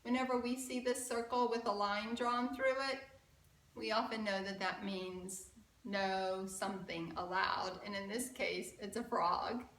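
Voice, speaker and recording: A woman reading a picture book aloud to children in an expressive, engaging way. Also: medium pitch, a little slow. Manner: expressive, asks the young viewers questions. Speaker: children's storyteller at a church story time. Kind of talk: animated read-aloud for children. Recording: roomy, from a distant mic.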